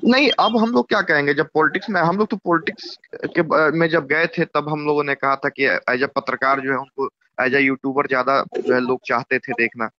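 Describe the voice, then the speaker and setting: A man with a Bihari accent explaining politics informally in measured, repetitive phrases as an interviewee on a recorded phone call. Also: fairly even intonation, bright, forceful tone, dry recording. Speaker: YouTuber. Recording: muffled low-bandwidth phone call recording.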